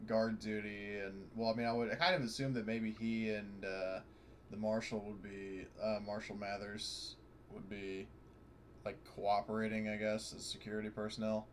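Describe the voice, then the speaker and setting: Male tabletop player, lowish voice, thinking out loud, faint noise behind him. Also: little pitch movement, moderate pace. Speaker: player in a tabletop roleplaying game. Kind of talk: casual game chat.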